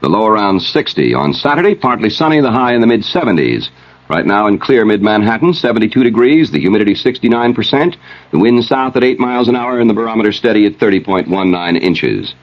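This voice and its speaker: Male radio newsreader with a lowish voice, scripted bulletin delivery, slightly dulled vintage broadcast sound. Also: expressive, quick pace. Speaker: radio news and weather announcer. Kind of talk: scripted news reading. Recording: slightly dull old radio recording.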